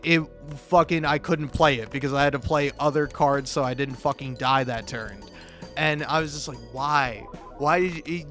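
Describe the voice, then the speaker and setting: A man doing casual, comedic, rambling gaming commentary, halting and stammering, self-deprecating and freely profane. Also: a little high, a bit bright. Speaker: video creator and host.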